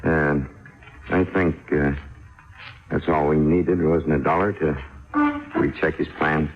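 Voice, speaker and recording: Deep-voiced, terse police captain. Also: male voice, fairly even intonation, slow pace, soft background music, heavily acted. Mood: weary, polite. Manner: terse, businesslike. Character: weary, gruff state police captain. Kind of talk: 1950s radio drama acting. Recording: dull, faintly noisy old radio recording.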